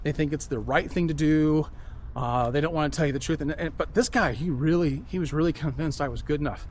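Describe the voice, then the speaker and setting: A man's voice: a little high, brisk, slight background noise. A man talking earnestly as he walks outdoors, rambling and repeating himself in a reflective monologue. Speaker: vlogger. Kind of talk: reflective walk-and-talk monologue. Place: outdoors, walking.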